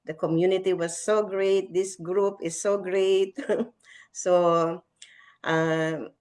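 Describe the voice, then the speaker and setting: A middle-aged woman with a Filipino accent speaks as a panelist, sharing her story off the cuff. Her voice sits a little low, and she talks slowly and haltingly, pausing often. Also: fairly even intonation, darkish tone. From Philippines. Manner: halting, hesitant. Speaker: talk show panelist, online business owner. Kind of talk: unscripted personal testimonial.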